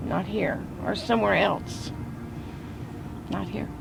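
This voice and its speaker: A woman's voice: medium pitch, fairly even intonation, takes it slowly, vocal fry, noisy background. A woman resident talking bluntly and with exasperation in an interview from her car. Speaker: local resident.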